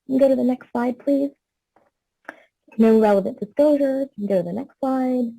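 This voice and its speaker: A woman oncologist giving a medical webinar lecture, explaining clearly, a little hoarse. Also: high-pitched, normal speed, warm tone, rough recording. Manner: clear explanatory tone, asks for next slide. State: slightly losing her voice.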